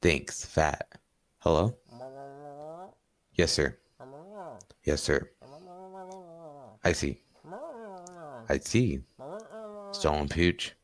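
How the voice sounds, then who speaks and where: A student performer playing a gruff police sergeant in an old-time radio play. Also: rumbling low, extremely slow, long pauses, exaggerated voice. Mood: businesslike. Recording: school radio play with music behind.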